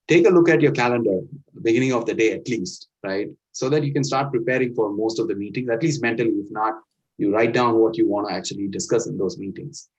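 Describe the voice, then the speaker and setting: A middle-aged man with an Indian accent talks through career advice in a low, earnest voice. He lands each point firmly and keeps checking in with his listeners. The recording is dull and lo-fi. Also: fast, slightly rough. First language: Indian language. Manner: earnest, instructive, peppers points with "right?". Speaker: speaker giving career advice. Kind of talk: motivational talk. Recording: dull lo-fi sound.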